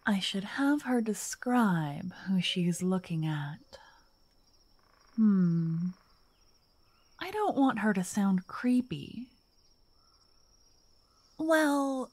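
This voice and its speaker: A woman playing a slow, soft-spoken doting vampire writer. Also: mid-range voice, very animated, dry recording. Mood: musing. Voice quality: soft, breathy, often whispered. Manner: teasing, dreamy, old-fashioned wording. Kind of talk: soft ASMR roleplay. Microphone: close, dry microphone.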